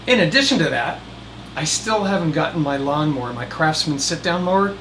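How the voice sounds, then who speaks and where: A man's voice: fairly high pitch, lively intonation, brisk, a bit bright, a little hiss or hum, poor audio. A man hosting a DIY video in a casual, folksy way, with wry asides to the viewer. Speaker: host of a DIY video channel. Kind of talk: casual chatty DIY narration.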